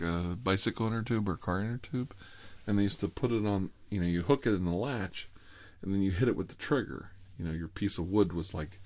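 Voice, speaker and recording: A man's voice: low voice, darkish tone, no echo. A middle-aged man telling personal stories informally, in a rambling, wry and sardonic confessional way.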